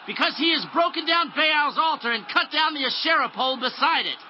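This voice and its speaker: An actor playing an angry townsman of a hostile mob in a dramatized audio Bible, shouting demands. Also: very high-pitched, animated, a little fast, full character voice. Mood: furious demand. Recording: muffled audio with ambient noise.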